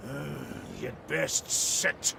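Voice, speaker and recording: An actor voicing a gruff, blunt old dwarf elder in a fantasy video game, with a Scottish accent and gravelly voice. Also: male voice, fairly high pitch, fairly even intonation, extremely slow, noisy background, exaggerated voice. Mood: stern, uneasy. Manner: blunt, folksy, bossy. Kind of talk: dramatic video game voice acting.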